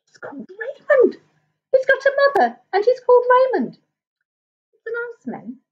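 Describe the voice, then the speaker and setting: A woman voicing excitable, astonished small animals chattering in a children's storybook. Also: very high voice, moderate pace, highs cut off, voice pushed up.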